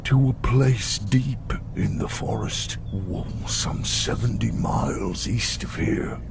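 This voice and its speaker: A man voicing a gigantic, gentle abominable snowman in an audio storybook, solemn and gravelly, with a halting, drawn-out delivery. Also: mid-range voice, background noise. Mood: sorrowful. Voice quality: gravelly, creaky.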